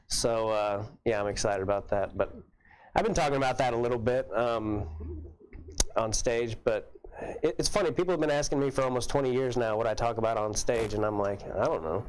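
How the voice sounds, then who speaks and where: A man in his forties with a Southern US accent talks comedy in a relaxed, unhurried way. He trips over his own starts and circles back, keeping a middle-pitched, everyday voice. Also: slight background noise, rough recording. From Arkansas, raised in Kansas City. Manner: halting, many restarts, easygoing. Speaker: comedian guest. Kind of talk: casual interview chat. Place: basement of a comedy club.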